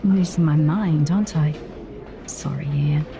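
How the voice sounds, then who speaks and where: A woman's voice: low voice, moderate pace, vocal fry, background noise. A woman voicing an anxious, overworked female boss of a fashion company, fretful and prone to muttering, in an audio drama. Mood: frazzled muttering.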